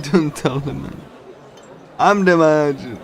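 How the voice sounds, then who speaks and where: A man reading a visual novel aloud on a casual livestream, in a halting, pieced-together way. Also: fairly high pitch, vocal fry, fairly bright tone, slight background noise. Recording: music behind the voice. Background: game music.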